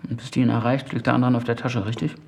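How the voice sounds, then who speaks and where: A man's voice: medium pitch, little pitch movement, very fast, gravelly, a little mellow, close to an everyday voice. Man acting a tense, sarcastic, jealous husband. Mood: mocking. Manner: curt, needling, sarcastic. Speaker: actor in a radio play. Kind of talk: naturalistic German radio drama dialogue.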